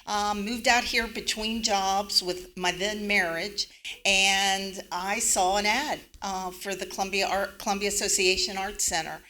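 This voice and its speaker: A woman's voice: medium pitch, fairly even intonation, a little slow, pushed and bright, a little hiss or hum. A woman in her fifties from Washington, D.C., introducing herself on a community panel in a reflective way, with many ums. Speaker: panelist, arts center manager.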